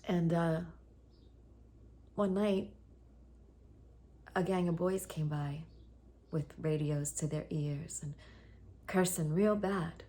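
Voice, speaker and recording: A woman playing a traumatised, lonely young woman in a theatre monologue, halting and intense, often slipping into a breathy hush. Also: low voice. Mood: uneasy, hurt.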